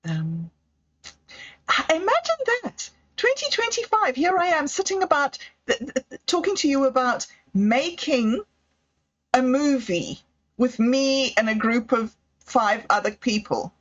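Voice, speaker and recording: A woman's voice: fairly high pitch, highs cut off. A woman hosting her own livestream, chatting in a rambling, effusive way and often breaking off mid-sentence. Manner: effusive, rambling, self-interrupting. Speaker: livestream host. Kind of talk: rambling solo livestream chat.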